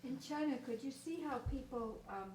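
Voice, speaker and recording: A woman's voice: high-pitched, moderate pace, a little mellow. A woman speaking casually in conversation, responding and asking questions at a public talk. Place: indoor room at a public library event. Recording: roomy, distant mic, faint noise.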